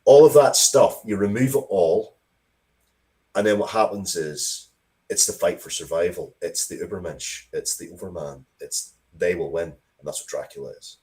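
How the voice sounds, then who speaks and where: A man's voice: sits low, moderate pace, warm tone, poor audio. A man talking casually and opinionatedly as an interview guest, emphatic and repeating words to drive points home.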